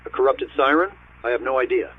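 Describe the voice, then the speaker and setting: A man's voice: mid-range voice, fast, phone-quality sound, close to an everyday voice. An actor playing a concerned young man in dramatic dialogue with a friend. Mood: uneasy, soothing.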